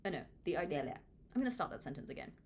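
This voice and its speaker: Female narrator, lowish voice with animated swings, informal read-along delivery, slight background noise. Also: racing. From Hong Kong. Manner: expressive, informal, self-deprecating. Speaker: author reading their own book aloud. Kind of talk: audiobook read-along with casual asides.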